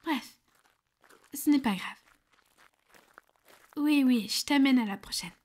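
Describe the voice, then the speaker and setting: A woman acts out a female Cupid in an ASMR roleplay, high in pitch. She is a blunt, chiding matchmaker who sounds exasperated with her friend yet protective. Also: darkish tone. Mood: resigned, protective.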